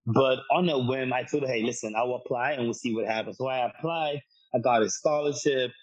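A man's voice: medium pitch, fairly quick, breathy edge. A young man from Ghana, a podcast guest, telling his career story in an earnest, talkative way with many restarts. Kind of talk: storytelling interview answer. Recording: dull lo-fi sound.

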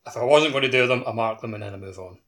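A man chats informally about a video game as a podcast host. His voice has an average male pitch. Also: rapid-fire, a bit bright.

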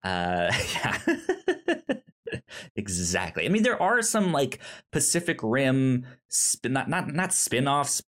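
A man chats casually as a podcast co-host at an unhurried, slow pace. He often stammers and repeats words as he finds his way through a sentence. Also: medium pitch, sing-song, a bit bright. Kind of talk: casual chat about shows and films.

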